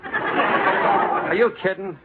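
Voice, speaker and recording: An actor playing a gruff, sardonic shopkeeper in an old-time radio comedy. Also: male voice, high voice, very slow, a loud crowd. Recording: very noisy, tinny old radio recording.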